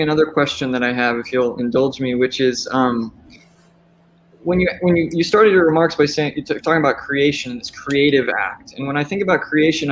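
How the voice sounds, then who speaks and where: A man's voice: mid-range voice, slight background noise, rough recording. A man moderating a discussion panel, asking a long question in a thoughtful, hesitant way with many restarts.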